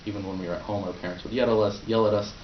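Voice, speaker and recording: A young man born in Poland, Polish his first language, delivers a prepared speech to a club in a low, easygoing voice, with fillers and restarts. He is caught from a distance in a roomy meeting room on a dull, muffled recording. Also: fairly even intonation, racing, a little hiss or hum. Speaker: club speaker.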